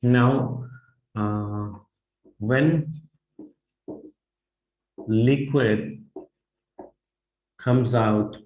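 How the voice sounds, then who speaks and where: A man with an Indian English accent teaches vocabulary in a classroom that rings with echo. His voice sits at an ordinary middle pitch. He says each phrase slowly, often twice, and keeps asking "right?". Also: fairly even intonation, narrow, tinny audio. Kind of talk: whiteboard vocabulary lesson. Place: classroom in front of a whiteboard. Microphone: lapel mic. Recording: echoey classroom.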